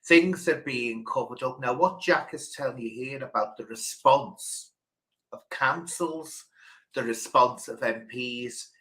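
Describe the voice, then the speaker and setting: A man hosting a spontaneous campaigning talk, speaking haltingly and repeating words for emphasis. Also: mid-range voice, fairly bright tone, rough recording. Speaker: host.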